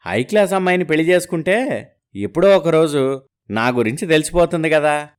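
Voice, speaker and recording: A man acting out scheming young men's casual, slangy buddy talk in an animated moral story. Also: fairly high pitch, a little fast, clear, resonant tone, natural voice. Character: scheming poor young man hunting for a bride.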